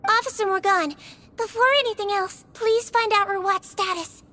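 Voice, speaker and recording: Very high-pitched, sweet young nurse. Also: female voice, steady tone, normal speed, bright, forceful tone. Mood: calm, clinical. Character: sweet young female head nurse, calm and clinical. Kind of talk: video game voice acting. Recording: game music behind the voice.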